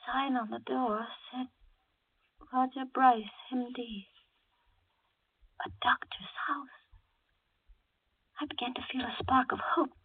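An actress playing a frightened hostage, narrating in the hushed, melodramatic style of 1940s radio drama. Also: female voice, high voice, fairly even intonation, takes it slowly, pauses often, slightly rough, full character voice. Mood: hushed dread. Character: frightened woman held hostage, breathlessly recounting her ordeal. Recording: narrow lo-fi old radio recording. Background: dramatic music.

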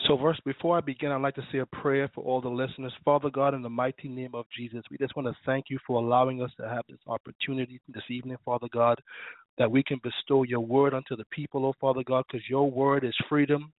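A male minister preaching and praying on an internet radio show, his voice slightly raspy from illness. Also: mid-range voice, no echo. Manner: fervent, preacherly, prays and reads scripture. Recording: dry tinny phone line.

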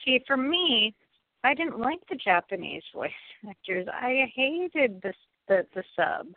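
A woman's voice: a little high, sing-song, measured pace, no echo. An American woman chatting casually and giving emphatic opinions, repeating phrases for stress. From United States. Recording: thin phone-like audio.

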